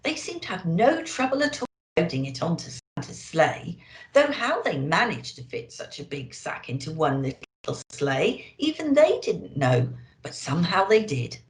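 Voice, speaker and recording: A woman speaking as a children's storyteller, expressive and deliberate, as if to young listeners. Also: fairly low pitch. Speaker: storyteller in character as a Christmas elf. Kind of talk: children's storybook reading and festive address. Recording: echoey room.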